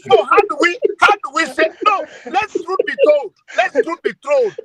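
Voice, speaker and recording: A man in his sixties from Nigeria with an Ekiti accent, speaking passionately in an online forum, halting and repeating words as he goes. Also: very high-pitched, animated, pushed and bright, dry recording. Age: 60s. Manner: halting, repeats words, stumbles over phrases. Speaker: call-in speaker. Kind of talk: impassioned online forum talk.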